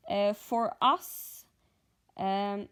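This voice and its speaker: A woman from Norway with a Norwegian accent, chatting casually in a vlog, pausing and thinking aloud, a little sleepy. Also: medium pitch, a bit bright, clear, resonant tone. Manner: halting, thinking aloud with fillers. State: just woken up, short on sleep. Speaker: stitching vlogger.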